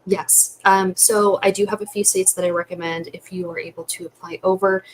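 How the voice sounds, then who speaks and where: A woman's voice: mid-range voice, little pitch movement, fairly quick, poor audio. A young woman giving methodical, advisory answers as a licensing expert on a webinar panel. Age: young adult. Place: indoor room with curtains. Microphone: computer microphone on video call. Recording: faint background noise on video call.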